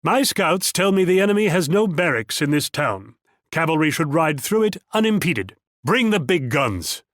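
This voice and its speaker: A man's voice: a little high, sing-song, moderate pace, a bit bright, dry recording, studio quality. A man voicing a proud, condescending, wealthy Dutch military commander in a video game, with a theatrical and patronizing manner. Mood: assured, commanding. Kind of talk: video game character voice lines.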